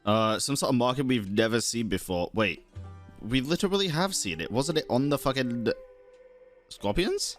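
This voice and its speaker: Streamer role: informal gameplay commentary, animated intonation, mid pitch, loose slangy talk over soft game music. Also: moderate pace. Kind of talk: casual gameplay reaction commentary. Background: video game music and dialogue.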